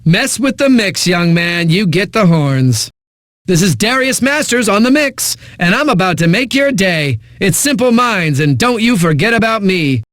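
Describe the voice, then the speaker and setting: An actor playing a cocky, flippant eighties-hits radio DJ in a video game, with smug, punchy announcing and dramatic pauses. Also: male voice, high voice, animated, a bit bright, no room sound, studio quality. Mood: smug, hyped. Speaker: radio DJ, host. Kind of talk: 1980s music radio DJ patter.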